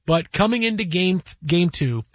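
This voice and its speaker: A man hosting a sports radio show, talking casually and rambling with quick asides. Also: sits high, animated, dry recording. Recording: dull lo-fi broadcast with faint music.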